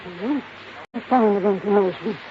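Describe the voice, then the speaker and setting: A woman's voice: mid-range voice, moderate pace, natural voice. An actress playing a blind, wealthy old woman in a radio drama, blunt, demanding and cantankerous. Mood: crotchety. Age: older. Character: blind, crotchety, bossy old wealthy matriarch. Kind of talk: 1950s radio drama acting. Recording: noisy, muffled old 1950s radio recording.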